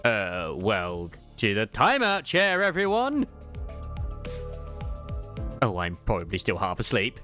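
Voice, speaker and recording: A man reading a game story as dramatized first-person narration, with expressive, bewildered exclamations. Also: on the low side, takes it slowly, pauses often, faint music behind. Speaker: fan dubber and game reader.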